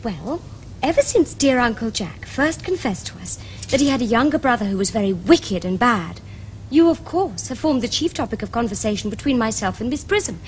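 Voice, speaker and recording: A woman's voice: on the high side, very animated, normal speed, slight background noise, poor audio. An actress playing a prim, romantic young Victorian lady in a period comedy, with precise, formal Received Pronunciation. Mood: dreamy, matter-of-fact. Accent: English Received Pronunciation. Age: young adult. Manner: precise, formal, earnest diction. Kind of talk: period stage comedy acting for television.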